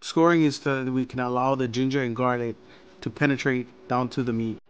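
A man casually explaining how he seasons and steams a fish as co-host, friendly and relaxed. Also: medium pitch, fairly quick, clear, resonant tone, muffled recording, faint music behind. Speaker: cooking channel co-host. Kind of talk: casual cooking instructions.

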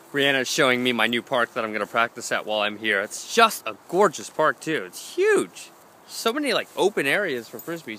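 A man's voice: medium pitch, bright, forceful tone, slight background noise. A young man filming a casual vlog with a handheld camera, coaching a frisbee throw and chatting to his dogs. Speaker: dog trainer vlogger. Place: gym, park and home, handheld camera. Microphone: handheld camera mic.

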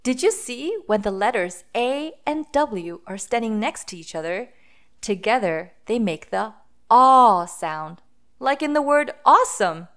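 A woman hosting a children's phonics read-aloud, speaking deliberately and sounding out letters and words for young listeners. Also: on the high side, expressive, fairly bright tone.

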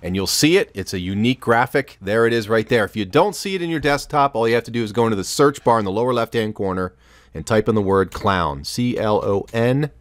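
A man in his fifties giving an informal, instructional software tutorial at a close microphone in a small room. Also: lowish voice, lively intonation, brisk, no room sound. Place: small home studio room, indoors.

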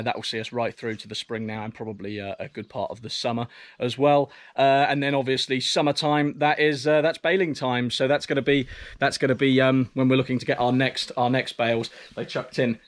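A man's voice: medium pitch, no echo. A man vlogging from his farm, talking casually to the camera outdoors, often restarting and repeating words as he goes. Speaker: vlogger and smallholder.

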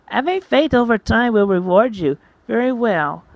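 A woman's voice: on the high side, animated, moderate pace, no echo, pitched above the performer's own voice, rough recording. A woman voicing a pious, hopeful young winged female creature with an earnest, sing-song way of preaching, in an anime-style story. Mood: joyful, devout.

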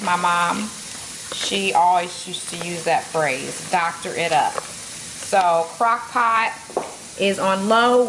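A woman's voice: medium pitch, fairly bright tone, a little hiss or hum, rough recording. A middle-aged woman chatting casually to viewers while cooking in her home kitchen. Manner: chatty, addresses viewers directly. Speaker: fitness vlogger. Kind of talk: casual cooking vlog chat.

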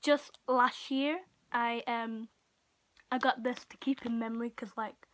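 High-voiced teenage girl chatting casually. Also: steady tone, slight background noise. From Portugal. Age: teenager, about 15. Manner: halting, frequent "like" and trailing off. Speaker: vlogger. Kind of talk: casual chatty show-and-tell to camera. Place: small room at home, indoors. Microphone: built-in device mic at arm's length or a bit further.

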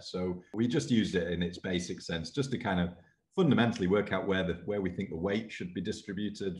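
A man in his forties, a university lecturer in naval architecture, talking casually and self-deprecatingly on a video call from his office. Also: sits low. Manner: self-deprecating, rambling, animated with hand gestures. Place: office with bookshelves, indoors. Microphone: laptop webcam video call.

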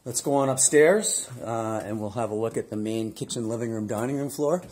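A man in his fifties giving a casual walkthrough of a construction site to a handheld camera, relaxed and upbeat with frequent filler words. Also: mid-range voice, moderate pace, breathy edge. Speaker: builder giving a site tour. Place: outdoor construction site, then inside a framed house. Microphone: phone or camera held at arm's length.

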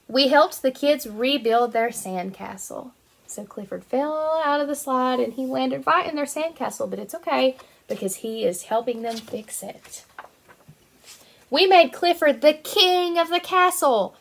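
A woman in her twenties, a kindergarten teacher reading a picture book aloud to young children in an animated, sing-song way. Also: sits high, a little slow, creaky. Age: 20s.

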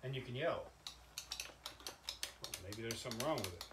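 An older man giving a halting, folksy, informal product demonstration, like an inventor showing off his own gadget. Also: medium pitch, animated. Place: indoor cluttered home workshop. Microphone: camera mic a few steps away. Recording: echoey workshop with background noise.

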